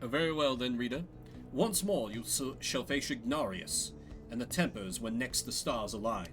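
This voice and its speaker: A man's voice: medium pitch, lively intonation, fairly bright tone, faint music behind, lightly acted. A man voicing a solemn, courtly minstrel guide in a fantasy game, speaking in formal, old-fashioned phrases. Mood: courteous, brisk. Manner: formal, measured, archaic phrasing.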